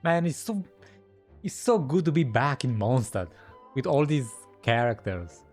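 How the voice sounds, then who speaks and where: Unhurried man reacting to a game. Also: mid-range voice, big pitch swings, darkish tone. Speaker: video game streamer. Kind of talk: casual game reaction commentary. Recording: faint game music behind.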